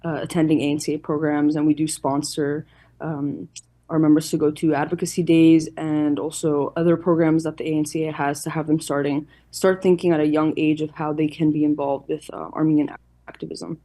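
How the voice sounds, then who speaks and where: A woman's voice: low-pitched, level tone, brisk, mellow. A woman answering interview questions as an organization's representative in an earnest, explanatory, formal way. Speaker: youth organization chairperson, interview guest.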